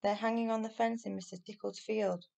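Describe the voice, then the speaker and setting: A woman voicing an excitable, earnest and insistent young boy in a children's storybook reading. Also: mid-range voice, brisk, creaky. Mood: protesting.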